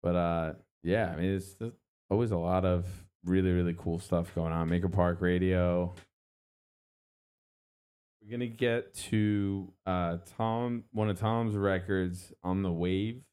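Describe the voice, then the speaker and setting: Very deep smooth guy hosting local radio, laid-back chat about events. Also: steady tone, measured pace, a little mellow. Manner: easygoing, plugging local events. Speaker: radio host.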